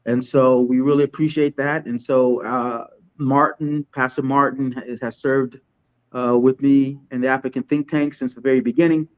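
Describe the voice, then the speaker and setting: A man from Chad hosting an online talk show, speaking casually with frequent restarts and pauses as he sets things up and welcomes viewers. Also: medium pitch, steady tone, smooth, poor audio. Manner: halting, many restarts while setting up. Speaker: host. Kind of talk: casual livestream interview hosting.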